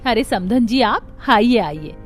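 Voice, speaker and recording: A woman's voice: fairly high pitch, expressive, slow pace, soft background music. A woman voicing a rich, sly, demanding older mother-in-law in a cartoon story, bossy and falsely sweet. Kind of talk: Hindi cartoon story dialogue.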